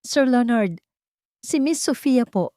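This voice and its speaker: A woman voicing a worried nurse, high and urgent. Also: expressive, takes it slowly, mellow, no room sound, studio quality.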